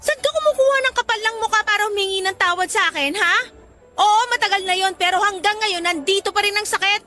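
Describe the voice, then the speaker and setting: A bitter middle-aged woman in a radio drama. Her voice is high and piercingly bright, snapping out curt, sarcastic retorts. Faint music runs underneath. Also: fast. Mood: bitter, angry. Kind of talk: Tagalog radio drama acting. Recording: music bed behind voice.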